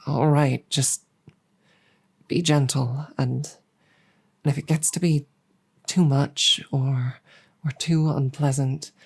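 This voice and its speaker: A woman voicing a proud but embarrassed female dragon, tender toward a human partner, in an intimate roleplay audio drama, speaking formally and haltingly with a breathy voice. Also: a bass voice, darkish tone, heavily acted. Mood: resigned, nervous. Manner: formal, flustered, halting with repeated words.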